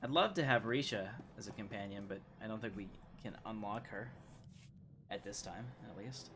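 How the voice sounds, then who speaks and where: A man's voice: lowish voice, moderate pace, noisy background. A man streaming a video game with casual, joking, rambling commentary.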